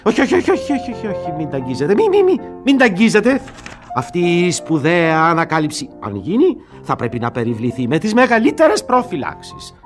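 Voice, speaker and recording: Eccentric elderly lord, obsessive and fussy, lightish pitch with big swings, old radio drama. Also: male voice, faint music behind. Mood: fussy, agitated. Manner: fussy, excitable, self-important. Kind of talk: old Greek radio theatre acting.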